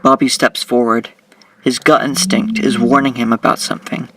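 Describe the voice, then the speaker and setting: A man's voice: mid-range voice, little pitch movement, normal speed, a bit bright, dry recording, far from the mic, rough recording. A man giving scripted, dramatic narration as the narrator of an audio drama.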